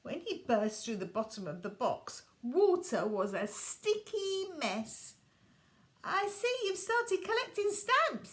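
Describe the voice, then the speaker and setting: Slow, expressive woman reading a bedtime story. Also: a little high.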